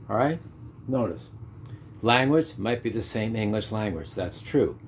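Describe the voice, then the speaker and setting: A Canadian man in his fifties with a mid-range voice delivers a teaching talk. He drives his points home with repetition and rhetorical questions. A faint hiss sits under the recording. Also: mid-range voice. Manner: emphatic, repeats key points, rhetorical questions. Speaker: ESL teacher. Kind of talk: instructional monologue.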